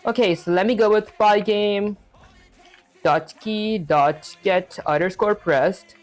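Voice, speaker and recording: A man's voice: high voice, slow pace, faint music behind. A young man live-streaming his programming practice, talking casually and rambling with lots of filler words while he reads chat. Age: young adult.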